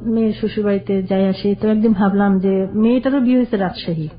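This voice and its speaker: A woman's voice: medium pitch, steady tone. Older woman recounting her life story. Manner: plain, halting recollection. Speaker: caller guest. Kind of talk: personal storytelling. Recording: dull lo-fi radio sound.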